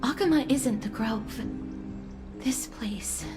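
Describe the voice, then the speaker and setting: Solemn, courteous young maiden for a game: higher voice, soft measured delivery, clear music bed. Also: female voice. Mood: earnest, solemn. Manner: polite, measured, soft-spoken.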